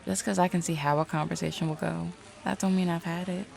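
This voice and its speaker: Woman doing low super fry-heavy lady mayor, audio rp, confident n shrewd, folksy, straight to the point. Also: steady tone, fast talker, darkish tone. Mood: frank, businesslike. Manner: direct, knowing, folksy. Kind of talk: audio roleplay acting.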